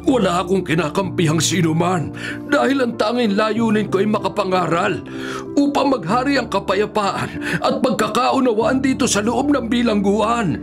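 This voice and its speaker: An actor playing a devout, peaceable elderly prisoner in a Filipino radio drama, earnest, pleading and preachy. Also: male voice, high-pitched, normal speed, creaky, music in the background.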